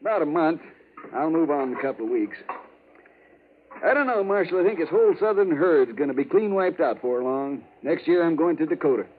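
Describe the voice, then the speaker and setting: An American actor playing a folksy, talkative buffalo hunter with rustic frontier speech in a 1950s radio western. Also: male voice, fairly high pitch, expressive, brisk, lightly acted. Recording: tinny, noisy 1950s radio recording.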